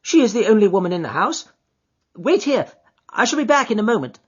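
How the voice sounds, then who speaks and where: A man voicing a nervous, frightened middle-aged gentleman in a high, tremulous, pleading voice. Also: sing-song, fairly quick, slightly rough, highs cut off, full character voice. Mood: fussy.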